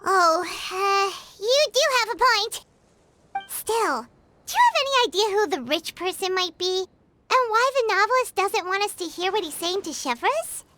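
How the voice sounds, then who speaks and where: A woman voicing a tiny, excitable, talkative childlike fairy companion in a video game. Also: extremely high pitch, moderate pace, exaggerated voice. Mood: worried, curious. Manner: refers to self in third person.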